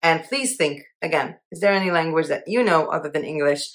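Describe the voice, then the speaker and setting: Casting: young woman, low-pitched voice, relaxed chatty vlog delivery, upbeat and self-mocking. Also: a little fast, rough recording. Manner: chatty, upbeat, laughs at herself. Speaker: channel host. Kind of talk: casual monthly goals update to camera.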